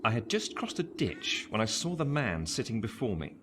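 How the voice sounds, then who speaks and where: An actor playing a grown man who narrates his fearful boyhood in a radio play, measured and literary, with an English accent. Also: mid-range voice, sing-song, lightly acted. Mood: wry, measured. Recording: noisy home recording.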